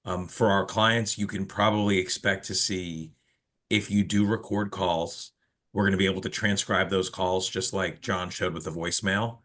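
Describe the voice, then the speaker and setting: A man's voice: low voice, flat delivery, normal speed, fairly bright tone. A man hosting an informal business webinar, explaining things and putting questions to a colleague. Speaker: webinar host of a telecom company.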